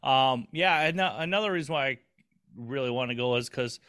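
A man's voice: mid-range voice, brisk, fairly bright tone, no room sound, clean studio sound. A man co-hosting a podcast in casual chat, rambling and swearing casually with lots of restarts. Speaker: podcast co-host.

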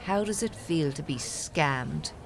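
A woman's voice: low-pitched, lively intonation, slow pace, gravelly, full character voice, poor audio. A woman playing a mocking, assured undercover fraud-squad detective in an audio drama. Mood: triumphant, mocking.

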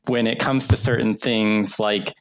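A man hosting a relaxed conversation, his voice low with a rough, crackly fry. He talks in a reflective, thoughtful way. Light noise sits behind him. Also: unhurried. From Mexico. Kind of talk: casual conversation.

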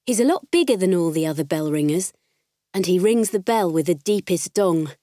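A woman playing a spirited, candid farm girl, narrating her diary in an expressive, conversational audiobook style. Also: lowish voice, normal speed, no room sound, studio quality, natural voice. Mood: fond, cheerful.

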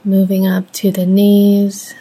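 A soft-spoken woman leading a guided meditation in gentle, measured phrases with long pauses. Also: mid-range voice, completely flat delivery, slightly rough.

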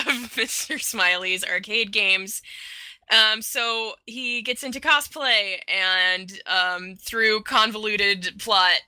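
Female podcast co-host, sharp and very bright, dry close sound, informal chat. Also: mid-range voice, clean tone, no echo. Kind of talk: casual chat about a cartoon.

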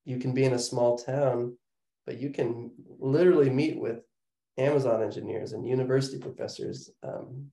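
A man's voice: mid-range voice, steady tone, normal speed, gravelly, dark tone, echoey room, poor audio. A man hosting an interview podcast, enthusiastic and affirming toward his guest. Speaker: podcast host.

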